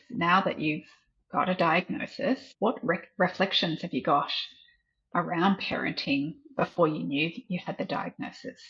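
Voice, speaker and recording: A woman's voice: on the low side, breathy edge. A woman hosting an online interview and introducing her guest, then asking reflective questions over a video call. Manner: warm welcoming introductions, asks reflective questions. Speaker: host and community founder. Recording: dull lo-fi video call audio.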